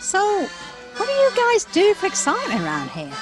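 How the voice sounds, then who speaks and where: An actress playing a startled, wonderstruck visitor to a magical world, with a dramatic, hesitant audio play delivery. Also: female voice, very high voice, huge pitch swings, normal speed, music in the background, exaggerated voice, low-quality recording. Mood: curious.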